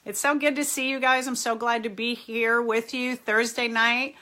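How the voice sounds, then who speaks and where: Middle-aged woman, slightly raspy, bright tone, chatty live-stream host. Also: a little high, flat delivery, moderate pace. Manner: chatty, warmly greets viewers by name. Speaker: therapist hosting a live Q&A. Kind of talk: casual live-stream chat. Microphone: phone or webcam, live stream.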